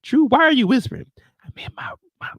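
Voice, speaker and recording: A man's voice: extremely high pitch, expressive, creaky, breathy edge, no echo, highs cut off, pitched above the performer's own voice, exaggerated voice. A man voicing a nervous jailed man who whispers frantically into a smuggled phone. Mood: hushed, panicky. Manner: hushed, rushed whisper.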